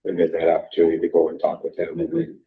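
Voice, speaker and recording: A man taking part in a council meeting, measured and agreeable. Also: deep voice, big pitch swings, vocal fry, husky. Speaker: meeting participant. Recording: tinny phone-line audio.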